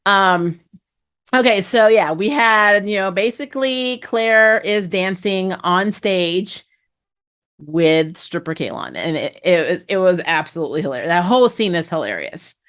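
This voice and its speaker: A woman's voice: on the low side, lively intonation, measured pace, a bit bright. Chatty, gushing woman reviewer. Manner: gushing fan enthusiasm, chatty and slangy. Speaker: host of a fan TV review channel. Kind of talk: casual, opinionated spoiler recap to camera.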